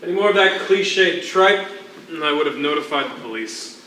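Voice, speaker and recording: A stage actor playing a world-weary, wry imprisoned writer with a wordy, formal, sardonic manner. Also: male voice, on the high side, animated, normal speed, bright, forceful tone. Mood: irritated. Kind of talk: theatrical stage acting. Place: on a stage in a hall. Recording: echoey theatre stage, distant mic, faint noise.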